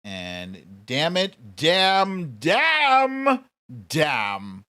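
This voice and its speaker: Man, live stream host, slow-paced, casual quiz banter, informal, teasing, mildly sweary. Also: on the high side, wildly swooping pitch, pushed and bright, clear, resonant tone.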